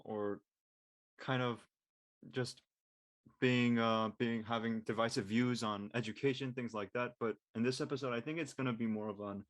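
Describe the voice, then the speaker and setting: A man's voice: fairly low pitch, fairly bright tone. A man speaking as a cast member in a panel discussion, talking his thoughts through.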